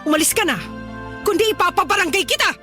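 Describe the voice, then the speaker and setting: A woman's voice: very high-pitched, animated, quick pace, pushed and bright, soft background music, full character voice. An actress playing a hostile, suspicious middle-aged mother, scornful and accusing, in a Tagalog radio drama. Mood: threatening. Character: hostile, suspicious, old-fashioned mother of a grown son.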